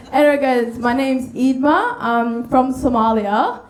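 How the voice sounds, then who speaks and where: A woman tells stand-up stories in a high voice at a slow pace, giggling as she goes. The room is echoey, with a light audience behind. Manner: giggly, laughs through her own lines. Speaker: stand-up comedian. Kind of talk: stand-up storytelling. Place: on stage in a comedy venue. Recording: echoey live comedy venue. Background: light audience noise.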